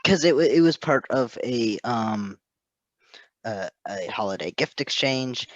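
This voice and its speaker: A man chatting casually in a halting, self-deprecating, giggly way. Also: medium pitch, animated, no room sound, lo-fi sound. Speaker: co-host, fan fiction proofreader. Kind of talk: casual rambling chat.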